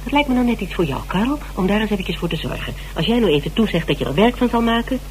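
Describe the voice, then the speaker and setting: A woman's voice: mid-range voice, expressive, quick pace, lightly acted. A woman in a Dutch radio drama playing a shrewd, composed investigator with a probing, matter-of-fact manner. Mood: blunt, persuasive. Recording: noisy, humming old radio recording.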